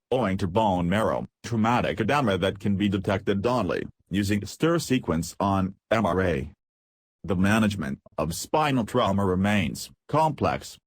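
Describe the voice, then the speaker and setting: A man with a fairly low voice delivers scripted educational narration. He reads formally, as the presenter of a medical lesson. The sound is dry and close, with very little room echo.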